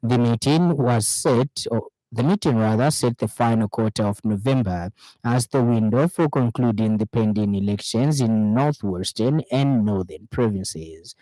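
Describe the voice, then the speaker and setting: A man's voice: medium pitch, measured pace, mellow, no room sound, low-quality recording. A man with a Zambian accent reading a scripted radio news bulletin in a studio.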